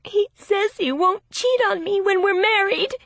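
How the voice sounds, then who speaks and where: A naive young bride-to-be, acted by a woman narrator, speaks high and tearfully. She pleads and defends herself with stubborn emotion, in a close, dry storybook recording. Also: expressive, moderate pace, no echo. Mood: angry, insistent.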